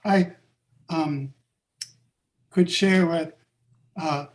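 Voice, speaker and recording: Higher-voiced man with a South African accent, workshop leader, halting and hesitant delivery. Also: a little mellow, slight background noise. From Canada. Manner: halting, hesitant, many fillers and restarts. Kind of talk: personal account in group discussion.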